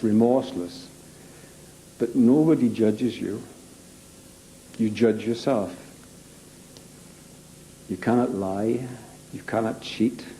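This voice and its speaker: A man giving unscripted personal testimony, halting and emphatic, repeating phrases for weight. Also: mid-range voice, mellow, slight background noise, poor audio. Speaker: interviewee giving a personal account.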